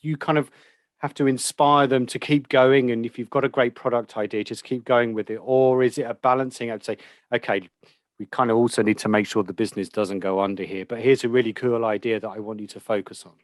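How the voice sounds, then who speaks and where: A man's voice: medium pitch, fairly even intonation, a little fast, no room sound. Man moderating, dry close sound. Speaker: panel moderator. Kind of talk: informal interviewing. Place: remote video call.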